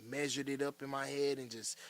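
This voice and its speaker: A man speaks at a mid pitch in relaxed slang, telling studio stories and laughing along as he goes. He describes his work as a music producer and engineer. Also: a little fast. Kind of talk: casual studio chat.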